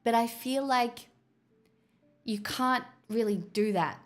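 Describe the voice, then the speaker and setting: A woman's voice: fairly high pitch, slow, soft background music. A young woman streamer chatting casually and rambling to her viewers. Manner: chatty, rambling, talks to chat.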